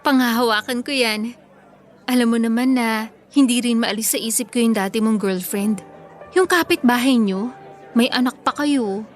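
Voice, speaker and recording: Casting: a woman to play a high-pitched, tender, nervous Filipino bride for radio drama, music bed. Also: normal speed, close to an everyday voice. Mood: anxious. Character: happy, anxious young pregnant bride, sweetly affectionate.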